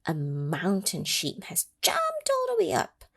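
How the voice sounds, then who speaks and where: A woman reading a picture book aloud to children, pausing to ask them questions and sometimes dropping to a whisper. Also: medium pitch, gravelly, no echo, clean studio sound. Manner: animated, asks the listening children questions; drops into whispers for effect. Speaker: children's story reader. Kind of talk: read-aloud storytime for children.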